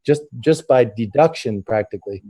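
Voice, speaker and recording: Man, host, earnest, halting, repeats words, mellow tone. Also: mid-range voice, breathy edge. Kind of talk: casual interview chat.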